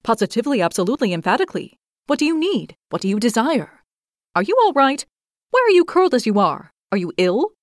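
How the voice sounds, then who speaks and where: A woman's voice: on the high side, animated, husky, no room sound, studio quality, pitched above the performer's own voice. A woman doing a twitchy chattering squirrel. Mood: eager. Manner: rapid-fire, eager questions.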